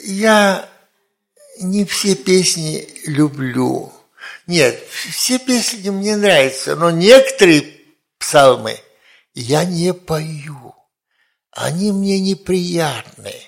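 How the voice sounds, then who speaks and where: A man's voice: fairly high pitch, expressive, extremely slow, vocal fry. A man in his seventies preaching informally, in a conversational way with rhetorical questions and repeated phrases. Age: 70s. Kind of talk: informal sermon with personal anecdotes.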